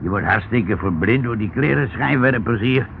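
An actor playing a rough, surly dock worker in a Dutch radio play, gruff and slangy with a gravelly voice. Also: male voice, fairly low pitch, lively intonation, moderate pace. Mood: gruff, dismissive. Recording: tinny, faintly noisy old radio recording.

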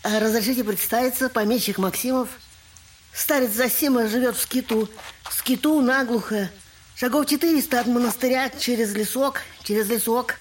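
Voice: mid-range voice, moderate pace, recorded from a distance, slight background noise, lightly acted. A performer playing a fussy, obsequious landowner of sixty who repeats words, in an audio drama. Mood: eager. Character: fawning, fussy old landowner of sixty, eagerly curious.

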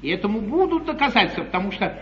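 Scheming gentleman journalist, sardonic, theatrical, bright ringing tone, very animated, 1950s stage comedy. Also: high voice, racing. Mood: mocking, theatrical. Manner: declamatory, mocking, ornately polite. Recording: muffled, faintly noisy 1953 theatre broadcast.

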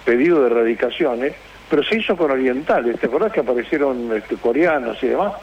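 A man with an Argentine accent chatting casually as a radio host, speaking haltingly as he searches for words. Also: mid-range voice, animated. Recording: dull radio sound with hiss.

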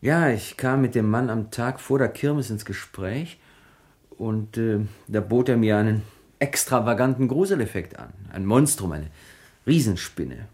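An actor playing an evasive, scornful pharmacist under suspicion in a 1960s German radio crime drama, dismissive and defensive in manner. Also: male voice, medium pitch, lively intonation, unhurried, a little mellow. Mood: explaining, evasive. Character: evasive, scornful male pharmacist suspected of killing his aunt.

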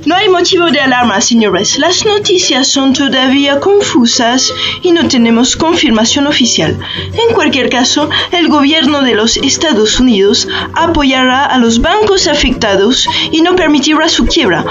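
Funcionaria formal de voz aguda. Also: female voice, sits high, expressive, moderate pace, a bit bright, dull audio. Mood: formal, reassuring. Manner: formal, evasive. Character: guarded, official US government secretary at press conference. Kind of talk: radio play acting.

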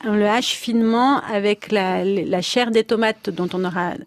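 A woman's voice: mid-range voice, unhurried. A woman guest on a radio show, explaining with hesitant repetitions and step-by-step detail. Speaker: author and guest. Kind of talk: radio interview. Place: radio studio. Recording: dry studio sound.

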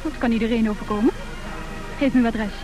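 Actress as high-voiced headstrong young heiress. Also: female voice, quick pace, natural voice. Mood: insistent, indignant. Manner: insistent, theatrical, imperious. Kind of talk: old Dutch radio comedy acting. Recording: old radio play, music behind.

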